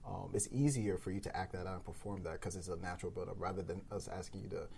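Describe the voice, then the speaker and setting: A man hosting a podcast, relaxed and affirming, chatting casually about writing. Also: low voice, fast, gravelly, slight background noise. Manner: relaxed, affirming, frequent "mm-hmm" and "yeah". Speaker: podcast host and writer.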